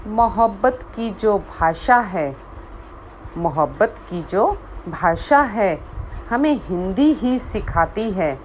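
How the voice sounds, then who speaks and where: Woman, poet, slow recitation, repeated lines, faint background hum. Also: medium pitch, expressive. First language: Hindi. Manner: measured, repeats lines for emphasis.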